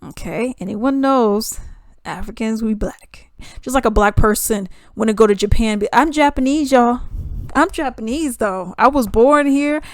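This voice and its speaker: Woman, casual video commentary; animated delivery, swings loud to hushed; close, dry recording. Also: fairly high pitch, moderate pace, dry recording. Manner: animated, chatty, swings between loud emphasis and hushed asides. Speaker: YouTube commentator.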